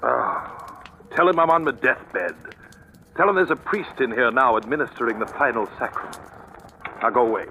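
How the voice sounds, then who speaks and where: Crisp, bright, pompous society columnist. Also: male voice, mid-range voice, lively intonation, normal speed, slight background noise. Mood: sardonic. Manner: urbane, sardonic, pompous. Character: suave, witty, self-important male newspaper gossip columnist. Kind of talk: 1940s radio acting. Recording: dull old radio broadcast, music behind.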